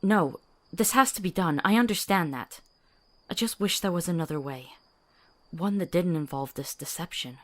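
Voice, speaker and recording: Woman acting as a monster hunter's sidekick, lowish voice, earnest, questioning, bit of bite, fantasy game style. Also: moderate pace, fairly bright tone. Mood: reluctant resolve. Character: compassionate, sharp-tongued female monster hunter's companion. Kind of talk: dramatic fantasy visual novel acting.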